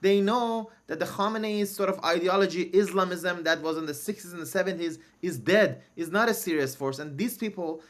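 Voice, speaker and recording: A man's voice: sits high, a little fast, few pauses. A man from Iran, a native Persian speaker, arguing his side on a discussion panel with emphatic, filler-heavy talk. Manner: emphatic, argues back with lots of "you know".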